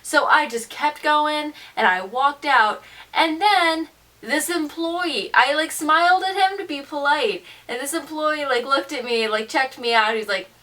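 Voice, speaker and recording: A teenage young woman vlogging in a casual, slangy storytelling style. Her voice is high and bright, and she delivers things with animated emphasis and mimicked asides. She sounds a little way off from the microphone. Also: a little slow, recorded from a distance. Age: teens, seventeen.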